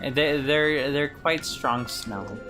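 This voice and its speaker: A man's voice: on the high side, slow, over music. A man co-hosting a gaming show, chiming in with short supportive comments while he plays. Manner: brief, supportive interjections and comments. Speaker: co-host playing the game. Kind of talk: casual chat while gaming.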